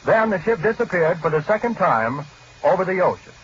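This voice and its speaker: A male radio reporter delivers a scripted 1940s news bulletin. His voice is fairly high for a man and his delivery is formal and factual. The vintage broadcast sounds dull, with faint noise. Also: lively intonation, fairly quick, fairly bright tone. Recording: muffled, noisy 1940s radio recording.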